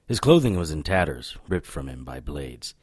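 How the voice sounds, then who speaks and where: An actor playing a cocky, world-weary supernatural detective in a noir audio drama, sardonic and flippant. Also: male voice, a bass voice, very animated, normal speed, darkish tone, no echo, clean studio sound, heavily acted. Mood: brooding, dry.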